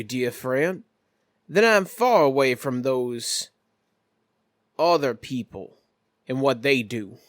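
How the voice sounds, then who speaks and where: Man playing a smooth, genteel shady businessman. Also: medium pitch, a bit bright, no room sound, studio quality. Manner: polished, formal, evasive.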